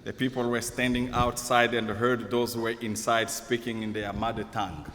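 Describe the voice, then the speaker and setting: A man whose first language is Kikongo, preaching in English as a guest and pausing in short, measured phrases for an interpreter. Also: medium pitch, level tone, fairly bright tone. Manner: slow, measured phrases paused for translation. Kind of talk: sermon delivered in English with live interpreter. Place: church sanctuary. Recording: long church hall reverb.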